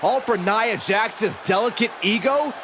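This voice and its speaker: High-pitched excitable wrestling commentator. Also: male voice, a little slow. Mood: outraged, alarmed. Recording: noisy arena crowd behind.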